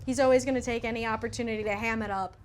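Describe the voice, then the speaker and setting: A woman's voice: a little high, steady tone, rapid-fire, a little hiss or hum. An American woman from the Southwest giving interview commentary as an audio drama's creator.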